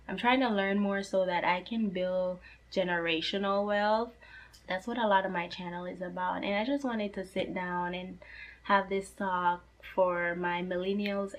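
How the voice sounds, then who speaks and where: A young woman in her twenties speaks straight to camera in a relaxed, chatty vlog, her voice a touch hoarse, as if she is starting to lose it. She sits in a slightly low register and keeps the tone earnest, peppering her sentences with "like" and "you know". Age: 20s. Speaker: personal finance vlogger. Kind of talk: casual talk to camera.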